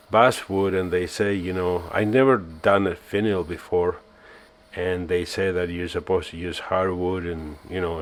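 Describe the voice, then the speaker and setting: A man from Argentina, narrating his woodturning work in a relaxed, self-mocking voice-over with many fillers. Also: low-pitched. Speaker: woodturning channel host.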